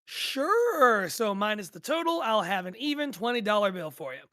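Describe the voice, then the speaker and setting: Woman as a bright, chatty drive-thru worker. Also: medium pitch, very animated, normal speed. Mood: helpful. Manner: chatty, easily amused. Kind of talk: conversational table read, audio drama.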